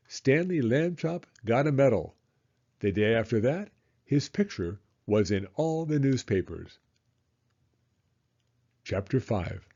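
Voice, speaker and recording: A man reading a children's story aloud in a deliberate, expressive storytelling style. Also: mid-range voice, highs cut off. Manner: deliberate, acts out character voices. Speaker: children's audiobook reader.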